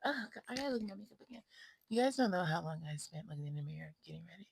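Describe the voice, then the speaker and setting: A sassy woman of twenty-five, chatting casually to camera on a new mic and slipping between breathy whispers and loud asides. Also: fairly low pitch, lively intonation, racing, slight background noise. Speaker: vlogger. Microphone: new desk mic.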